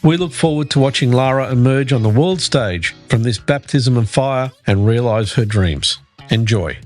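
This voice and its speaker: Male host, sports podcast, relaxed questions, faint music behind. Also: mid-range voice, normal speed. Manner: relaxed, uses "sort of" and "you know". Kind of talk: scripted intro then interview questions.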